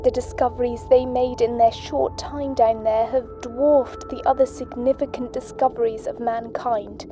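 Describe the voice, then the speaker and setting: A woman playing a solemn astronaut, the sole survivor of a mission, speaking in a grave, measured way. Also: a little high, flat delivery, close to an everyday voice. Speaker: astronaut Butler. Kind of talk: audio drama acting. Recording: music bed under voice.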